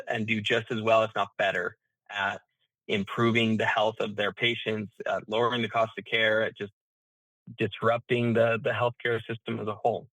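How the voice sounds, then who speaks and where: A man speaking as an interview guest, explaining his business in a halting, thinking-aloud way with repeated words. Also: fairly low pitch, fairly bright tone, no echo, poor audio. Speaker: interview guest, healthcare management founder.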